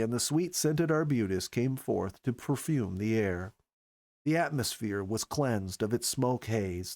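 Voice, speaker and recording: A man's voice: on the low side, darkish tone, no echo. A man reading a first-person story aloud as a storyteller, with an earnest, careful storybook delivery. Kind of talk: expressive scripted first-person narration.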